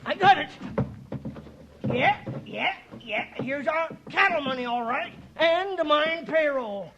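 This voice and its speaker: A folksy old cowhand sidekick with a remarkably high voice for a man. His pitch jumps about excitably and he likes repeating his words. It is classic 1950s radio Western acting. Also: slow pace, highs cut off, background noise, exaggerated voice. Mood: pleased, curious.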